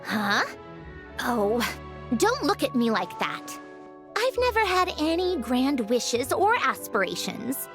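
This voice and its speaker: A woman voicing a young female automaton in a video game, speaking in a measured, explanatory, slightly sardonic way. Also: high-pitched, very animated, exaggerated voice. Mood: wry. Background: game soundtrack music.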